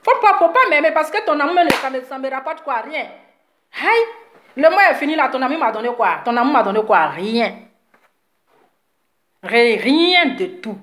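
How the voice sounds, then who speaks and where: A woman's voice: sits high, big pitch swings, normal speed, long pauses, fairly bright tone, roomy sound. A woman, slightly sick with a cold, scolding her followers in a heated, repetitive live video rant. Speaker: social media commentator.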